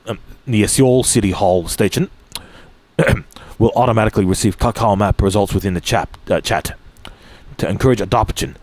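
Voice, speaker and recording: Lowish male voice reading news haltingly. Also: a little mellow, dry recording. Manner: halting, frequent restarts and throat clearing. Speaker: streamer reading news aloud. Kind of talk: reading articles aloud with asides.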